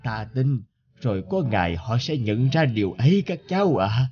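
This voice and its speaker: An actor playing a thousand-year-old plastic bag, a gentle, regretful grandfatherly figure who speaks wistfully in a cartoon. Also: mid-range voice, very animated, normal speed, slightly rough, dull audio. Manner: wistful, philosophical, addressing youngsters tenderly. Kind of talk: scripted cartoon dialogue.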